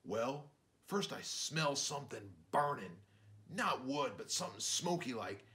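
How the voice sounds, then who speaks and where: A man's voice: medium pitch, sing-song, measured pace, sharp tone, pitched above the performer's own voice. A man voicing an agitated rustic rabbit father in a children's storybook, talking in folksy, ungrammatical country phrases. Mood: excited, dramatic.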